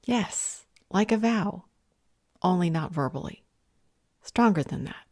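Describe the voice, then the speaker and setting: A woman acting a composed, cryptic vampire healer in an audio roleplay drama, speaking in a measured, polite and dryly sarcastic way. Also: fairly low pitch, expressive, darkish tone, no echo, clean studio sound. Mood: evasive, dry.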